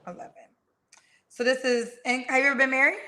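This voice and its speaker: A woman hosting a live dating stream, quickly asking questions and repeating each answer back. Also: high-pitched, flat delivery, bright, forceful tone. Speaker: host of a dating show.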